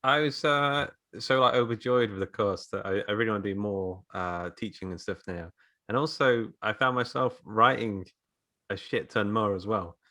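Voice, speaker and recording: A man's voice: on the low side, normal speed, sharp tone. A man who teaches writing, speaking casually as a guest in a podcast conversation.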